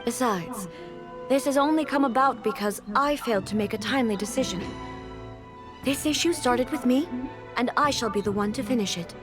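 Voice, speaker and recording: A woman voicing a stern, dutiful female ruler in a video game, speaking formally and with measured authority. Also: a little high, natural voice. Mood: solemn, resolute. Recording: game music behind the voice. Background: game music.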